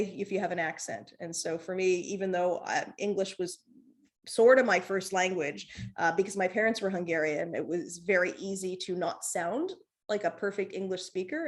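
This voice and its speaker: A woman, a podcast guest and comedian, talking casually and rambling with restarts and frequent laughter. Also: lowish voice. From North America. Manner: rambling, animated, laughs often, many restarts. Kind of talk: casual conversation.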